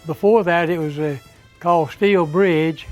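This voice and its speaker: A man, slowly recalling his hometown's past, pausing to remember names. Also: fairly high pitch, steady tone, dull audio, soft background music. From Florida. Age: 90s. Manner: slow, recollecting, with pauses to recall names. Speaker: interviewee. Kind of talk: oral history reminiscence.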